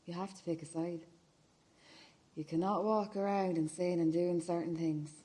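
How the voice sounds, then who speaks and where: Woman acting hard, low-voiced controlling sister. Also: steady tone, normal speed, mellow, natural voice. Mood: insistent. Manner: pointed, insistent questioning. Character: hard, controlling vigilante sister, Louise, cold and insistent. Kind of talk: radio play acting.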